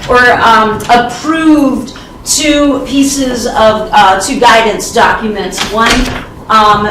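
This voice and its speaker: A woman with a loud voice, a school outreach coordinator, giving a lively, chatty panel talk without a microphone. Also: fairly high pitch, takes it slowly, a little hiss or hum. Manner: lively, chatty, self-deprecating. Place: conference room. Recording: echoey room, distant from mic.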